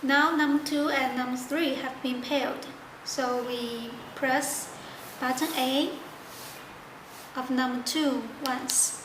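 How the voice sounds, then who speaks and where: A high-voiced woman demonstrates a product step by step. She speaks slowly in halting, simple non-native phrasing. The recording has clear room echo. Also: steady tone. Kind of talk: step-by-step tutorial narration. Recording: echoey room with loud background noise.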